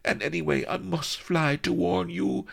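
A frantic young noblewoman, as a male reader puts her on, speaks in high, gasping broken English with a Spanish-flavoured accent. Her pleas tumble out in melodramatic bursts. It is a gravelly storybook voice pushed far up into a girlish register. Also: fairly even intonation, normal speed, full character voice. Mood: distraught. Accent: put-on Spanish-flavoured broken English. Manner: breathless, pleading, melodramatic. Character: panicky young noblewoman, breathless and dramatic.

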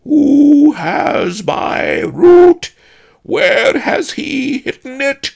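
Storybook spectre: wrathful, commanding, drawn-out high roar, Tibetan accent. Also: male voice, sing-song, creaky, a little mellow, full character voice. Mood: menacing, roaring. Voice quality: hollow, haunted, booming, drawn-out wailing. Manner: slow, menacing, commanding. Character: ghastly wrathful Tibetan spirit, patron of ginseng.

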